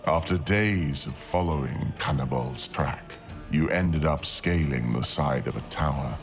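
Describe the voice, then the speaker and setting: Very deep, gravelly, slow male storyteller. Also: soft background music. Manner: dramatic, deliberate storytelling with long pauses. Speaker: audio storyteller, narrator. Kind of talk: scripted fantasy narration, ASMR audio.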